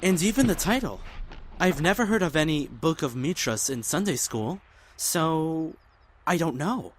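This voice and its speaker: A man playing a caring, earnest young survivor in a zombie apocalypse audio drama, mild-mouthed and gentle but stubborn. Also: fairly high pitch, lively intonation, measured pace. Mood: hesitant, mild. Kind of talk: fan audio drama acting.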